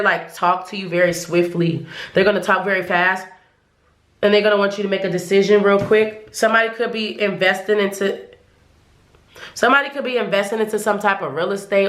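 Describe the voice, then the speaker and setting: A woman's voice: medium pitch, a bit bright, echoey room. A woman giving a casual tarot reading to viewers, losing her voice, who rambles and often restarts her sentences. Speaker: tarot reader.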